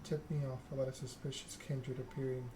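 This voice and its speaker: A man playing a loyal, dutiful vampire sheriff in a video game, giving a formal, deliberate report. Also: medium pitch, little pitch movement, lightly acted. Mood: formal, grave. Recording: clear background noise.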